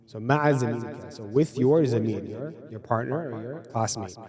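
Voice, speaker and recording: A man's voice: mid-range voice, normal speed, a little mellow. A man teaching a beginner Arabic class, explaining words patiently and step by step to students in a classroom. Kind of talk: classroom lesson.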